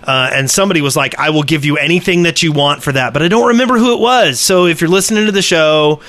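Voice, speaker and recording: A man's voice: fairly high pitch, fast talker, fairly bright tone, dry recording. A man co-hosting a casual gaming podcast, talking in a hesitant, self-questioning way. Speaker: podcast co-host.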